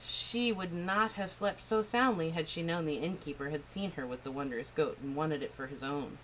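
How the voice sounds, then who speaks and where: A woman reading a picture book aloud to children in an expressive, lilting way. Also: sits low, moderate pace, slight background noise, low-quality recording. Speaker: children's storyteller. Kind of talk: storybook read-aloud.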